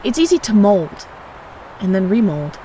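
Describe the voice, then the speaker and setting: A woman playing a wry, reflective storyteller, narrating an audio drama in a measured way. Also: medium pitch, very animated, dark tone, slight background noise, lightly acted, low-quality recording. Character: wry, reflective storyteller recalling childhood mischief.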